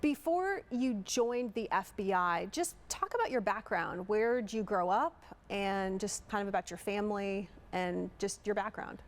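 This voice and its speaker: A woman's voice: mid-range voice, animated, unhurried, few pauses. A woman hosting an interview show, reading an introduction and then chatting in a friendly, talkative way. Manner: friendly, chatty, laughs easily. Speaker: host. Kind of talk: scripted intro, then casual interview chat.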